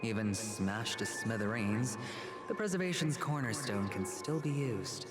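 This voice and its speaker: A man's voice: on the low side, measured pace, natural voice. A man voicing a cool, sardonic young gambler with self-assured delivery in a dramatic video game style. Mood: confident. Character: cool, smug young male high-stakes gambler. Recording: loud game music behind the voice.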